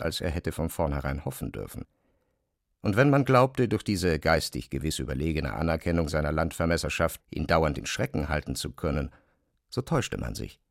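Low, creaky male literary narrator. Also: dry recording, studio quality.

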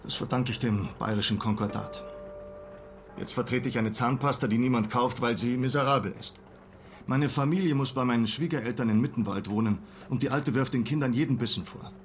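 A man's voice: mid-range voice, a little fast, a little hiss or hum, natural voice. A man playing a disillusioned former teacher turned salesman in a German radio play, speaking in a wry, articulate way. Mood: bitter, resigned.

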